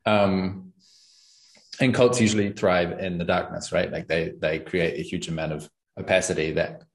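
A young man, talking casually and thoughtfully as an interview guest, often pausing with ums and small laughs. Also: low voice, fairly even intonation, low-quality recording. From New Zealand. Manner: thoughtful, searching for words, frequent ums and laughs. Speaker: podcast guest and channel host.

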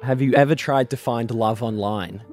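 A man co-hosting a radio show in a studio, his voice hoarse from a flu, playful and teasing with frequent laughs. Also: mid-range voice, moderate pace, darkish tone, soft background music. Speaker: radio co-host. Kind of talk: casual radio banter.

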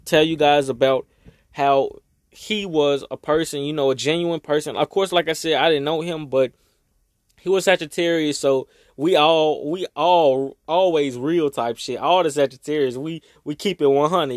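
A young man talking casually and emotionally to his viewers, rambling and swearing, his voice raspy from crying. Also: on the high side, steady tone, normal speed, no echo, studio quality. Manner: rambling, swearing, heartfelt, Southern US slang. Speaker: vlogger. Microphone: own microphone.

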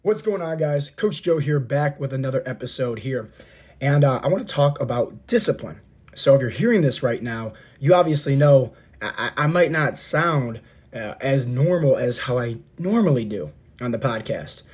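A man's voice: medium pitch, expressive, moderate pace, darkish tone. A man in his thirties, a coach hosting his podcast, giving an earnest motivational monologue with a scratchy, failing voice. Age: 30s. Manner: earnest, repetitive, coach-like pep talk. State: scratchy throat, losing his voice. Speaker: podcast host and fitness coach.

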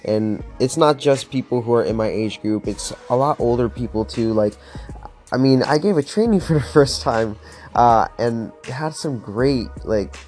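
A man's voice: mid-range voice, moderate pace, darkish tone, soft background music. A man in his twenties from Florida, talking as a podcast host in a casual, motivational monologue, earnest and upbeat, with many fillers like "like" and "um". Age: 20s.

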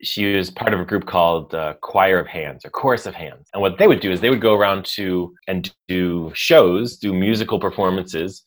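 Deep-voiced chatty American man. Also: moderate pace, few pauses. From United States. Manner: chatty, storytelling, self-deprecating with laughs. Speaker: leadership coach guest. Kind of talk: casual podcast interview.

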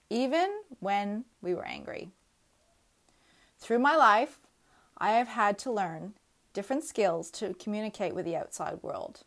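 A woman in her thirties reading her own personal essay aloud in an earnest, reflective, measured way. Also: medium pitch. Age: 30s.

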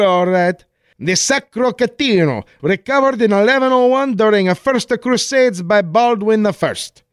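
Excitable Italian caravan guard, broad Italian accent, high voice, very animated, comedic radio play. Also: male voice, no room sound, clean studio sound. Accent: put-on Italian. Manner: exclamatory, broken-English caricature. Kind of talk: 1940s-style comedic radio play acting.

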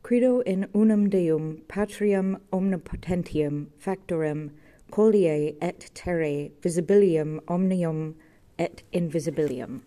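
A woman voicing a proud, bitter young workman who declaims loudly and scornfully. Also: on the low side, unhurried, lightly acted. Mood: declaiming. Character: proud bitter young male stonemason, tipsy.

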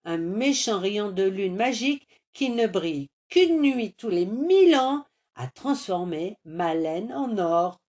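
A woman's voice: mid-range voice, lively intonation, a little mellow. A woman bleating as a little young ram. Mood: sheepish. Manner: bleating, drawn-out cries.

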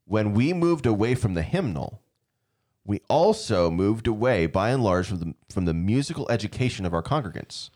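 Lively low-voiced man hosting a talk. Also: moderate pace. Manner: animated, laughs often, restarts sentences. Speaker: podcast host, church leader. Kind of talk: casual conversation about worship music.

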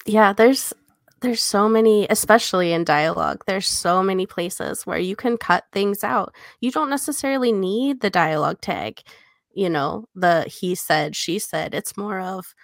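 Creaky-voiced woman in casual discussion. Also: medium pitch, measured pace, dry recording, clean studio sound. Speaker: podcast co-host and editor.

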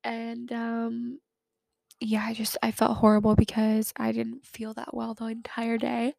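A woman's voice: a little high, monotone, measured pace, vocal fry, mellow, dry recording, clean studio sound. A young woman in her twenties hosting a casual chat podcast, sounding nasal as she gets over a cold, chatty and full of fillers. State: sick, recovering from a cold. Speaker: podcast host.

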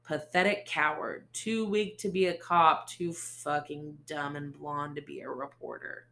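A gruff, aggressive male cop barks his lines in an audiobook, as a woman narrator puts him on. He sounds hostile and domineering, a small-town detective with no patience for anyone. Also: a little high, steady tone, a little slow, bright, forceful tone, full character voice. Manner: barking, hostile.

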